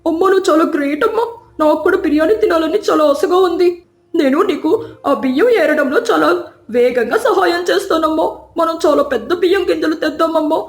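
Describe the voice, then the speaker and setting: A woman voicing an eager young bird child in a cartoon, excited and devoted to helping. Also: extremely high pitch, fast talker, roomy sound.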